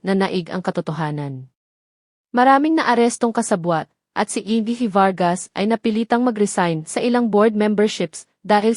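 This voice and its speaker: A woman's voice: mid-range voice, a little mellow, no echo. Woman narrating a story, dry sound. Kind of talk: scripted dramatic story narration.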